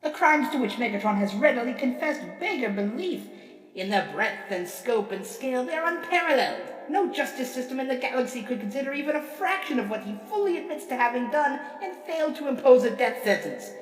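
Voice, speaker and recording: Vain, grandiose robot politician, played by a woman, oratorical and theatrical, lively intonation, distant with music behind. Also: sits high, fairly quick. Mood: grave, persuasive. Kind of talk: dramatic reading of a courtroom speech. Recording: echoey hall reverb, distant, music behind.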